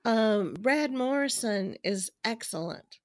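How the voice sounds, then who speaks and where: A woman talks as a podcast guest in a chatty, eager way. Her voice is going hoarse as she loses it. The sound is dry and close, with no room echo. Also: fairly high pitch, animated, slow pace. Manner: chatty, recommends people with enthusiasm. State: losing her voice. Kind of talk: casual phone-style interview chat.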